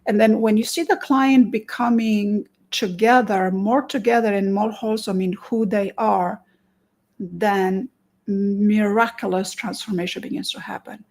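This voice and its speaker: Romanian lady therapist talking earnest, kinda gravelly, lots of restarts. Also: medium pitch, steady tone, a little mellow. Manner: earnest, many restarts, stretched pauses. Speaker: therapist and conference presenter. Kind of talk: promotional talk to camera.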